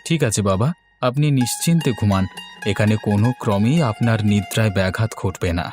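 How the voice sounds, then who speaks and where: A man's voice: lowish voice, lively intonation, fairly quick, over music, lightly acted. A man playing a devout, anxious king and father in a fairy-tale cartoon, with a theatrical, deferential and pleading manner. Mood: reverent, eager.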